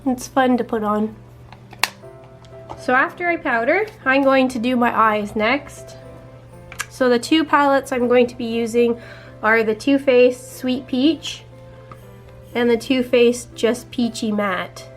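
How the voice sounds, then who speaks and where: Chatty young woman, slightly raspy. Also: a little high, takes it slowly, long pauses, faint music behind. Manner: relaxed, chatty, explains each step. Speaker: beauty vlogger. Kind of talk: casual makeup chat.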